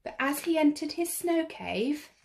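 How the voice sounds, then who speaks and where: A woman reading a children's picture book aloud in an expressive, theatrical way, drawing out the action words. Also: sits high, unhurried, vocal fry. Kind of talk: expressive read-aloud storytelling.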